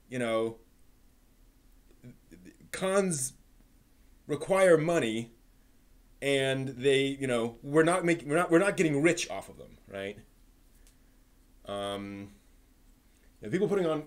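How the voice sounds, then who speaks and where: A man hosting a live stream with casual, rambling commentary, often halting and repeating his words. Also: on the high side.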